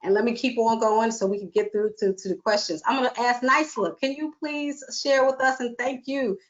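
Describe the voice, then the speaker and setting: Brisk, encouraging woman moderator. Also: on the high side, fairly even intonation, dull audio. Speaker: webinar moderator. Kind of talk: moderating a panel discussion.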